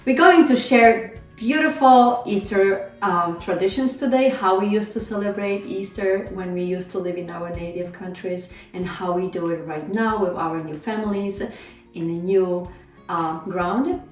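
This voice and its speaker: A woman's voice: mid-range voice, unhurried, reverberant, recorded from a distance, soft background music. Woman hosting a casual craft chat. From Poland. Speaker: host.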